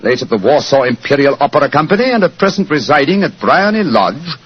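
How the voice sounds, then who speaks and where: A shrewd, self-assured gentleman detective in a vintage radio play. He is urbane and drily polite, with a husky voice that moves animatedly up and down, on a dull, worn old recording. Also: on the high side, big pitch swings, quick pace. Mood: composed, businesslike. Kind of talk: 1940s radio acting.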